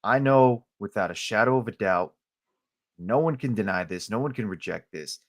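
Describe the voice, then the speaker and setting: A man from Colombia whose first language is Spanish, speaking passionately as a podcast guest and getting loud on key points. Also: fairly low pitch, lively intonation, moderate pace. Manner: passionate, gets loud on key points, stumbles when heated. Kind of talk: heated podcast discussion.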